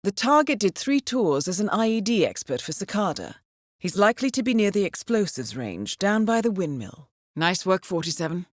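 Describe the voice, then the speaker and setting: An actress playing a composed, shrewd intelligence handler in a video game, giving mission briefings in a measured, precise and dryly wry way. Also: female voice, mid-range voice, very animated, no room sound, studio quality. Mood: composed, intrigued.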